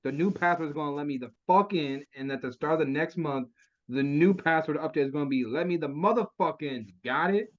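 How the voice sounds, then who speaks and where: An actor playing an exasperated, foul-mouthed crook in a comedic script read, whiny and indignant. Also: male voice, a little high, a little fast, natural voice. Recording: slightly dull, lo-fi audio.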